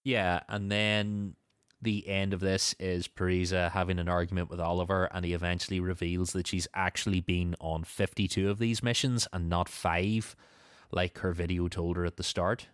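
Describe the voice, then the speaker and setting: A man's voice: sits low, level tone, normal speed, clean tone, no room sound, clean studio sound. A man hosting a podcast, casually recapping and discussing a story with a co-host.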